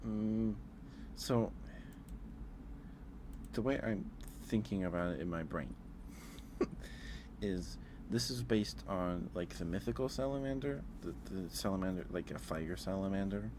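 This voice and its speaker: A man's voice: low voice, a little slow, noisy background. A man streaming ASMR in a casual, hesitant way, repeating his phrases as he thinks aloud. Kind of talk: ASMR livestream, casual chat ranking things.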